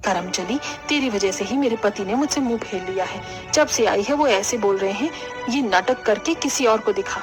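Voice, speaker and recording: A woman's voice: mid-range voice, moderate pace, pitched below the performer's own voice, lightly acted. A woman puts on a bitter middle-aged mother-in-law for a cartoon family drama. She scolds with disapproval in a register dropped below the performer's usual one, with music behind. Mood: bitter scolding.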